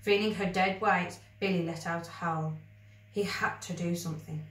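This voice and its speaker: A woman reading a children's story aloud with expressive narration. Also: fairly low pitch, normal speed. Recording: roomy, echoey, distant microphone.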